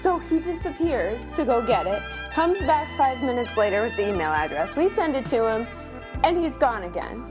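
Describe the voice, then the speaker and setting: A woman's voice: a little high, big pitch swings, moderate pace, music in the background. A woman playing an exasperated, melodramatic diner giving a theatrical, aggrieved reading of a scathing review. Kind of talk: dramatic scripted reading over music.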